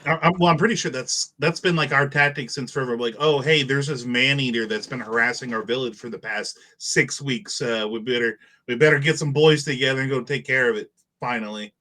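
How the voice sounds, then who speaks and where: Regular guy voice, medium pitch, slangy casual podcast chat. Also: fairly quick, sharp tone. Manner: informal, slangy. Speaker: podcast panelist.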